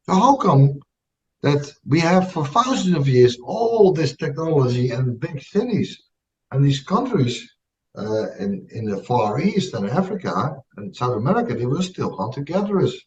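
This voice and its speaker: A man's voice: fairly high pitch, very animated, normal speed, recorded from a distance. A man with a Dutch accent who hosts a casual chat and keeps asking questions, often tagging them with "right?". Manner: poses questions, ends lines with a tag "right?". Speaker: podcast host. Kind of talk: casual conversation. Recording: dull lo-fi sound, set back from the mic.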